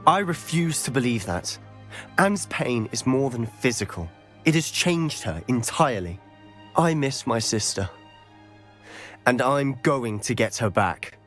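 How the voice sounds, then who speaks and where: A man's voice: mid-range voice, animated, measured pace, a bit bright, soft background music. An actor playing a determined young wizard who speaks earnestly about saving his sister. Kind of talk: video game cutscene acting.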